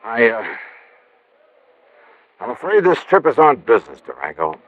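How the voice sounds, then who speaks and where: An actor playing a wry, quick-witted American investigator, both narrating and acting in 1950s noir radio style. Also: male voice, mid-range voice, wildly swooping pitch, dry recording. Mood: wry, serious. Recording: noisy, dull vintage radio recording.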